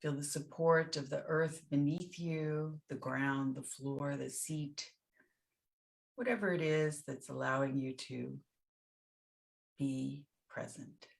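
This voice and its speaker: A woman with a low voice guides a meditation at a very slow pace. Her delivery is gentle and soothing, with short phrases set apart by pauses, as a teacher leading listeners through each step. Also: steady tone, creaky.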